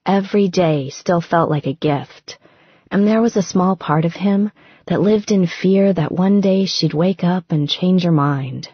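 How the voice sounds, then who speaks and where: Woman, romance audiobook narration, lowish pitch, slow reading, slightly breathy grain, dry sound. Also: dry recording, lo-fi sound. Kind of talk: scripted romance fiction narration.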